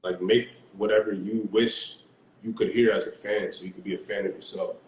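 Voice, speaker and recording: Young man, very low voice, relaxed rambling, video call, roomy sound. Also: moderate pace, slight background noise. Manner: laid-back, rambling, many fillers. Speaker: artist-producer guest. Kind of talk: casual video-call interview. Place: small room at home.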